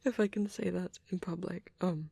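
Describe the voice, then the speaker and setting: A man playing a shy, soft-spoken vampire boy in an intimate ASMR roleplay, rambling hesitantly and bashfully close to the microphone, often near a whisper. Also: sits high, fairly even intonation, normal speed, gravelly, slightly rough, dry recording, heavily acted. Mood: bashful, nostalgic. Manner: hesitant, bashful, softly nostalgic, often trailing off.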